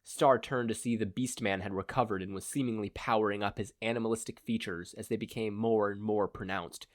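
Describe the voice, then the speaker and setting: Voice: medium pitch, fairly even intonation, brisk, dry recording. Close, dry fan fiction narrator. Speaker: podfic narrator.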